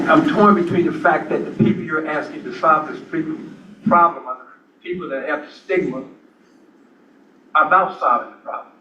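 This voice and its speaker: A man on a panel who speaks in a loud, emphatic, repetitive way. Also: fairly high pitch, measured pace, long pauses, distant mic. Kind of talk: panel discussion. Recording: dull echoey hall mic with room noise.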